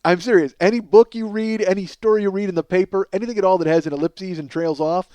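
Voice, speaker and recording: Higher-voiced man, profane comic rambler. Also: steady tone, fast, darkish tone. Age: 40s. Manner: profane, self-deprecating, emphatic. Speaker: comedy podcast host. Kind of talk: rambling comic monologue, casual storytelling.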